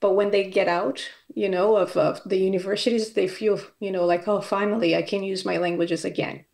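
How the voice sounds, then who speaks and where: A woman's voice: mid-range voice, fairly even intonation, a little fast, vocal fry, darkish tone. A woman from Brazil giving a conference presentation as a university academic, speaking with frequent fillers and restarts. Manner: hesitant, many fillers and restarts.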